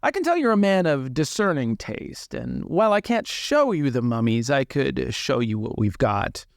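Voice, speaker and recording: A man voicing an eager, wheedling shopkeeper of curios in an improvised tabletop game, full of hesitant, flattering sales patter. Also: medium pitch, normal speed, vocal fry, no echo, clean studio sound. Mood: eager, pleading.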